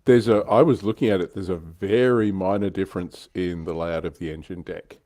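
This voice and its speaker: A man's voice: sits low, steady tone, fairly quick, mellow. A man hosting a livestream, chatting casually and explaining details of a hobby. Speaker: livestream host.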